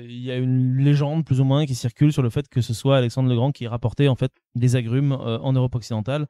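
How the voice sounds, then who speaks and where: A man hosting a relaxed podcast quiz, with a warm, mellow voice recorded close and dry. He talks easily, loading his explanations with fillers and little apologetic asides. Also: medium pitch, clean tone, no room sound. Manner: chatty, explains with many fillers and self-deprecating asides. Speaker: podcast host running a quiz. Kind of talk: casual chat.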